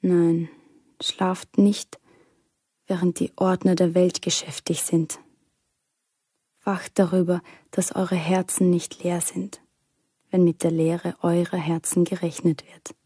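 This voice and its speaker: A woman reciting a warning poem in a radio play, grave and solemn, with a husky voice. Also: lowish voice, level tone, warm tone, no echo, clean studio sound. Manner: solemn, measured.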